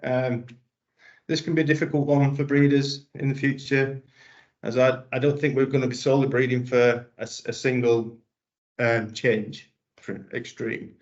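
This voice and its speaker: A man speaks as a plant breeder in a webinar, halting and restarting his sentences. His delivery is very flat, with little rise or fall. The sound is muffled and lo-fi. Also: medium pitch. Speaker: vegetable breeder presenting a webinar. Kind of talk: explanatory presentation. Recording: dull lo-fi webinar audio.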